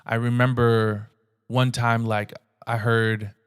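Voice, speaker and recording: A man's voice: medium pitch, takes it slowly, clean tone, no room sound, clean studio sound. Earnest young man telling his story. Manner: earnest, conversational, animated retelling with frequent restarts. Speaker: podcast host. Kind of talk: personal storytelling.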